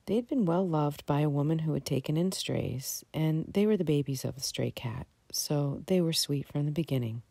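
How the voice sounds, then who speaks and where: A middle-aged woman giving a gentle, reflective scripted voice-over in a storytelling style. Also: sits low, moderate pace, vocal fry, few pauses, no echo. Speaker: vlog narrator.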